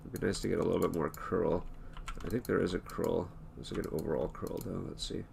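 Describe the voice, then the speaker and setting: A hoarse man talking through an animation tutorial in a relaxed, thinking-aloud way, with many fillers and restarts. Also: sits low, fairly quick, background noise. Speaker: animation instructor. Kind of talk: screen-recorded tutorial talk.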